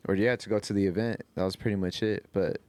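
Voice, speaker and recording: American guy hosting a podcast, low smooth voice, pretty flat delivery, slangy. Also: fast talker, no room sound. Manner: slangy, informal. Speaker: podcast host. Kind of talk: casual chat and sponsor shout-outs.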